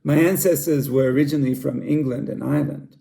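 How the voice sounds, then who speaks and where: A middle-aged Australian man giving a friendly, measured talk to students about his country as a school library director. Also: mid-range voice, vocal fry, warm tone. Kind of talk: scripted cultural presentation for students.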